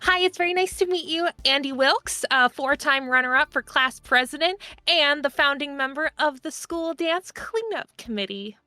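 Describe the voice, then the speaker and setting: A woman's voice: high-pitched, moderate pace, hardly any pauses, sharp tone, no echo, soft background music. A woman playing a perky, overeager young female intern with a gushing, earnest manner, in improvised tabletop roleplay. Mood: eager, bubbly. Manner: gushing, effusive.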